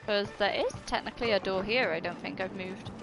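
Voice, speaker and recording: A woman's voice: medium pitch, a little fast, gravelly, poor audio. A woman streaming a video game, giving casual, reactive commentary and playfully heckling the characters. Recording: game music under the voice. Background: video game music and sound.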